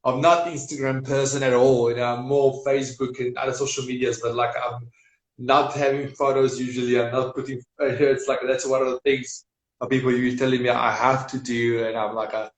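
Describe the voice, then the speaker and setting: A man with a Serbian accent, speaking as an energetic guest in a casual live-stream interview, with non-native grammar and repetition. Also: medium pitch, a little fast. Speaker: business guest. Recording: echoey room.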